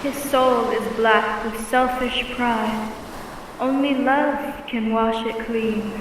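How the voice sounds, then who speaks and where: A woman's voice: on the high side, steady tone, slow pace. A young woman playing a stern messenger of God in a radio drama, solemn and commanding in archaic speech. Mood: solemn judgement. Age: young adult. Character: stern heavenly messenger pronouncing a curse. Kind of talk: old-time radio drama acting. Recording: noisy, cavernous echo, distant.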